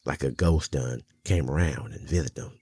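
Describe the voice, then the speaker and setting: An actor playing an older small-town mountain man, a haunted but gentlemanly storyteller in an intimate ASMR roleplay, speaking in halting, folksy phrases with a gravelly, husky voice. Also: extremely low pitch, dry recording, full character voice. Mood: somber, haunted.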